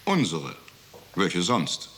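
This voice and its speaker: An actor playing a cold, mocking bureaucrat in a 1960s German radio drama, giving condescending, matter-of-fact instructions. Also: male voice, deep voice, wildly swooping pitch, extremely slow, pushed and bright, slight background noise, full character voice. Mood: matter-of-fact, impatient. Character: cold, mocking male bureaucrat of a deportation office. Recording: 1960s radio studio recording.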